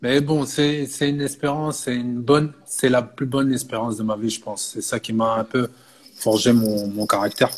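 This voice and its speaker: A man's voice: medium pitch, moderate pace. A man in his thirties from Belgium, a personal fitness coach, talking casually in an interview and often tagging on "you see" and restarting phrases. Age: 30s. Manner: relaxed, frequent filler "tu vois" and restarts.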